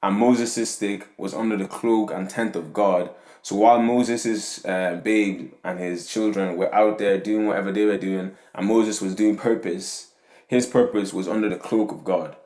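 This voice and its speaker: Deep-voiced young man rambling in an echoey room. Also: steady tone, measured pace, darkish tone. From Nigeria. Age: young adult. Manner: rambling, tags lines with "yeah?" and "you know what I'm saying". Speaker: podcast host. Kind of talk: casual monologue on faith and community.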